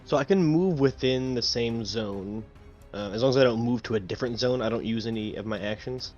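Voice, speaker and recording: A man playing a tabletop game, thinking his moves out loud in a halting, casual manner. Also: medium pitch. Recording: dull lo-fi audio with music.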